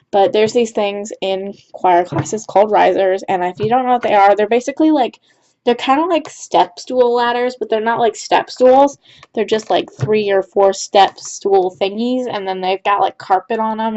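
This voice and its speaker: A woman's voice: mid-range voice, a little mellow. A teenage girl chatting casually while she plays, rambling with lots of fillers and restarts. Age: teens. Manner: rambling, filler-heavy, restarts often with "like" and "right?". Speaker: gaming YouTuber. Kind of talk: casual storytime chat over gameplay. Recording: dull lo-fi home mic.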